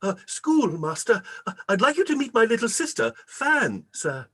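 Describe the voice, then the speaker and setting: A man's voice: big pitch swings, moderate pace, bright, forceful tone, slightly rough, full character voice. A man playing a nervous, deferential schoolboy in a radio play, hesitant and stammering. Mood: flustered. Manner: stammering, hesitant, high-pitched.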